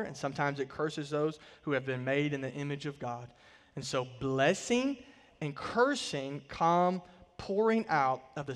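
Man, 30s, pastor, slightly high voice, emphatic sermon delivery, big hall reverb. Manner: emphatic preaching, voice swelling and dropping to quiet line endings. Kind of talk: sermon with scripture reading. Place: large church stage, indoors.

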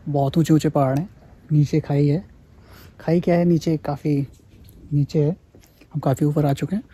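A man in his thirties, chatty and excited, vlogging outdoors on a mountain road into a phone held at arm's length. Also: on the high side, moderate pace, background noise. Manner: chatty, excited about the scenery, sings his own song. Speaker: travel vlogger. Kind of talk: casual travel vlog narration.

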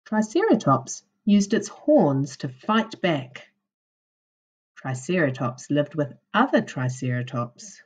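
A woman with a low, husky voice reads aloud as a primary school teacher would to young children. Her pace is unhurried and her intonation rises and falls in a very animated way, with careful, instructional phrasing. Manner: deliberate, instructional, questioning delivery for young children. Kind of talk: reading a children's non-fiction book aloud.

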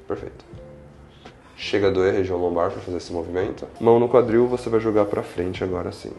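Casting: young Brazilian male chiropractor, low gravelly voice, reassuring, guiding a patient in a roomy space. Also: fairly even intonation, fast talker, warm tone. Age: young adult. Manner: reassuring, checking in often. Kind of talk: instructing a patient during treatment, explaining to camera. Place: small indoor treatment room with large windows. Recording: roomy echo, faint music behind.

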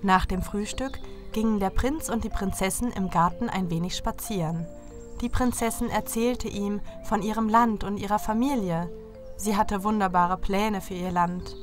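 A woman tells a scripted fairy tale to children as its narrator. Her voice sits at an average pitch. Soft music runs behind the reading. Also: moderate pace.

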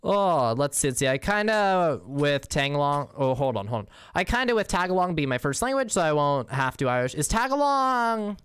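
A man's voice: a little high, sing-song, moderate pace, hardly any pauses, a bit bright, smooth, no echo. A young man casually chatting with viewers while playing a game, slangy and easygoing, with the odd swear. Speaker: streamer. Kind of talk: casual game livestream, reading chat.

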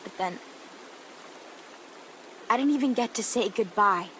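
A woman playing a guarded, guilt-ridden teenage girl survivor in a video game, subdued, halting and sardonic. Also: mid-range voice, fairly bright tone, slight background noise, close to an everyday voice. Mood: guilty, regretful. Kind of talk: naturalistic video game drama acting. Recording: clear outdoor nature ambience behind.

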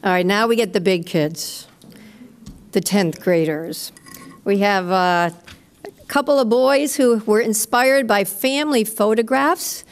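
A woman's voice: mid-range voice, measured pace. A middle-aged woman, a teacher, informally introducing students at a live stage event. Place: school stage at a podium. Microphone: gooseneck podium microphone.

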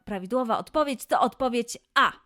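A woman presenting a children's quiz as host, posing questions and answers in a clear way for young listeners. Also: a little high, brisk, pushed and bright, soft background music.

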